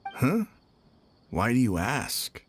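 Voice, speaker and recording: A man's voice: mid-range voice, wildly swooping pitch, takes it slowly, slightly rough, heavily acted. An actor playing an easygoing, slightly defensive male worker in a video game, with an offhand manner. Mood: casual recounting. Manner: casual, offhand.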